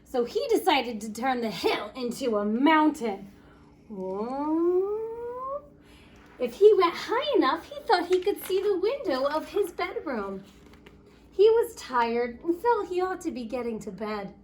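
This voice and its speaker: A woman reading a picture book aloud to children in an expressive, playful storytelling way, with chatty asides. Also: high voice, unhurried, slight background noise. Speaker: story time reader.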